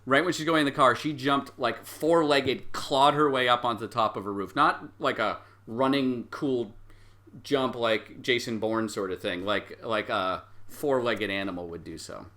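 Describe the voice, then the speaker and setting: A man's voice: medium pitch, normal speed, bright, forceful tone. A man working as game master, improvising narration for a tabletop game and slipping into the characters' lines. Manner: acts out characters' lines in quotes while narrating.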